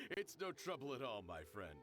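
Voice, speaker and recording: Middle-aged male merchant, played by a man, game character, animated, friendly, earnest, faint music underneath. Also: medium pitch, normal speed, lightly acted. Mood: hearty, friendly. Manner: hearty, earnest, kindly. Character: warm-hearted middle-aged merchant father. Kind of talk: video game voice acting.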